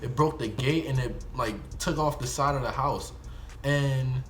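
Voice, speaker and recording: A man's voice: mid-range voice, measured pace, fairly bright tone, a little hiss or hum. A young American man telling a story casually to viewers, rambling and slangy, often correcting himself. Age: young adult. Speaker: video storyteller.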